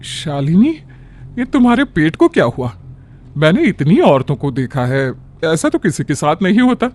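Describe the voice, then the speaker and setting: A man's voice: a little high, sing-song, moderate pace, a little mellow. A man voicing a worried, caring older village aunt who fusses and questions in a storybook tale. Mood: alarmed. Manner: concerned, questioning, fussing. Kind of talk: acted storybook dialogue.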